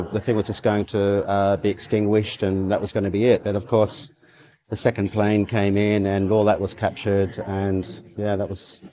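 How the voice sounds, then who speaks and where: A middle-aged to older man recalling the past in an interview, reflective and halting as he searches for his words. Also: on the low side, warm tone. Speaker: interviewee, artist. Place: quiet indoor interview room.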